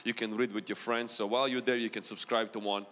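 A man's voice: lowish voice, level tone, fast talker, fairly bright tone, slight background noise. A man with a mixed Ukrainian and American accent preaching a Pentecostal sermon in a large church and calling the congregation to answer him. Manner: preaching with rising intensity, drawing call-and-response from the congregation. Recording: long hall reverb in a church.

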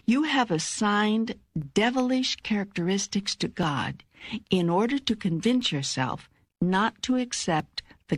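An older woman voicing God as a calm, wise, authoritative teacher in an audiobook, with measured, emphatic phrasing and a husky voice. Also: medium pitch, no echo, clean studio sound, exaggerated voice. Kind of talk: audiobook dialogue reading.

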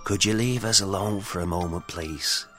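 An actor playing a solemn, probing priest who investigates spirits in an audio play, grave and cryptic in manner. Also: male voice, sits low, normal speed, over loud music, natural voice. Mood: gently insistent. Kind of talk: dramatic audio play acting.

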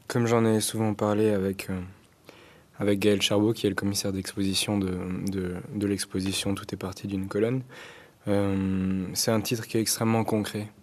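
Guest: young man in his twenties, Arabic-native, flat low voice, halting with lots of fillers. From Algeria. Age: 20s. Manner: hesitant, many fillers and restarts. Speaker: guest artist. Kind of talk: radio interview.

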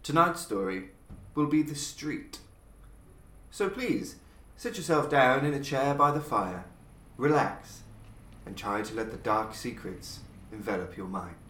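A man hosting a podcast and reading a classic horror story in a measured, dramatic narrating style. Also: echoey room, slight background noise. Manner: measured, dramatic delivery with lively pitch swings. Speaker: podcast host and reader.

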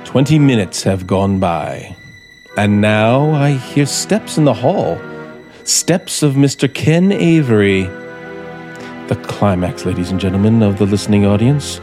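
An actor playing an embittered, desperate scriptwriter with a gun, slow and menacing in 1950s radio suspense style. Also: male voice, fairly low pitch, natural voice. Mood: grimly theatrical. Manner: menacing, slow and deliberate, dramatic pauses. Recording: 1950s radio broadcast, music behind.

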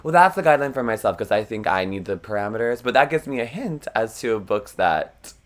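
A man's voice: lowish voice, lively intonation, brisk. A young man with a froggy voice, co-hosting a podcast in rambling, self-deprecating casual chat and laughing often. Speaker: podcast co-host.

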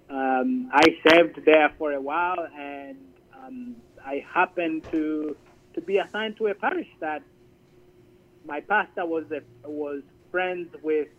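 A man speaks from a phone line that sounds thin and tinny. His voice sits a little above the usual male range, and he talks in a halting way, restarting and repeating words. He is telling his own story as a guest in an interview. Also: a little high. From Zambia. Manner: halting, repeats words while searching for them. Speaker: Air Force chaplain and priest, guest. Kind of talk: conversational radio interview.

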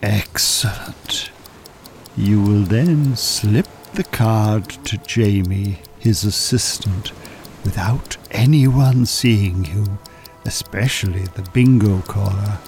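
A calculating Irish hypnotist con man speaks in a lowish voice. He is very unhurried, soothing yet commanding, as in a radio play with music underneath. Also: darkish tone. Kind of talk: audio drama acting, hypnotic patter.

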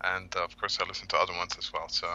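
Bright-toned man, halting and reflective. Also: low-pitched, flat delivery, a little hiss or hum. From Egypt. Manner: halting, many "uh" fillers. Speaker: author guest. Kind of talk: podcast interview, reflective storytelling.

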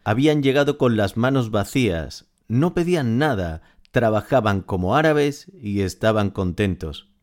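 A man's voice: fairly low pitch, animated, normal speed, a little mellow, close to an everyday voice. A man playing a smitten, wistful narrator reading scripted literary audio fiction. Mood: wry. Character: smitten, wistful male narrator, devoted to his beloved.

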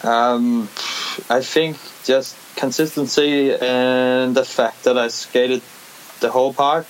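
A man's voice: mid-range voice, steady tone, slow, low-quality recording. A young man with a Danish accent, a skateboarder talking casually in an interview, hesitant with many restarts and fillers. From Denmark. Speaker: skateboarder, competition winner. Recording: faint background hiss.

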